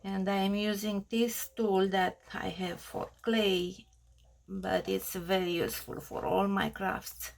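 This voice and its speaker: A woman with a strong Romanian accent, Romanian her first language, walking viewers through a craft project in halting speech with many pauses and fillers. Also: mid-range voice, steady tone, creaky. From Australia. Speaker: craft tutorial host. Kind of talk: crafting tutorial narration.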